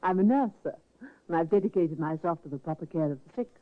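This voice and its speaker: A composed, gracious nurse, acted by a woman in a 1950s radio play, reassuring with a lively, varied pitch. The sound is narrow and tinny, like a restored old broadcast. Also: fast, natural voice. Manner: smooth and reassuring. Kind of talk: 1950s radio drama acting.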